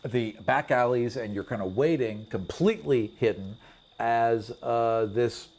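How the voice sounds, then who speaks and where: A man's voice: medium pitch, unhurried, a little mellow. A man chatting casually while running and playing a tabletop roleplaying game.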